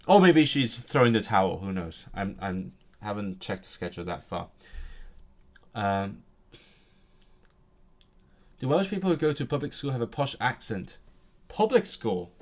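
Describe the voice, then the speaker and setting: A man's voice: on the low side, very animated, a little slow, pauses often. Chatty man practicing words on stream. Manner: chatty and self-interrupting, reads out and repeats practice words aloud. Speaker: language learning streamer. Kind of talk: casual live-stream chat while studying.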